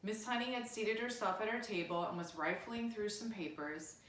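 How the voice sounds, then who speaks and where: A woman's voice: medium pitch, moderate pace, a bit bright. A woman reading a children's novel aloud as scripted narration. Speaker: storybook reader. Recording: roomy, echoey indoor sound.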